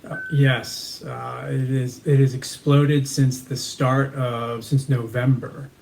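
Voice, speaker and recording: A man's voice: mid-range voice, flat delivery, takes it slowly, vocal fry, a little mellow, a little hiss or hum, rough recording. Earnest self-assured man guest. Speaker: guest, community page founder. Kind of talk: casual interview.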